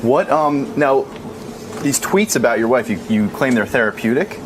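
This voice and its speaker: A man's voice: medium pitch, animated, moderate pace. American man questioning over background noise. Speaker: interviewer. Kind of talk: casual probing questioning.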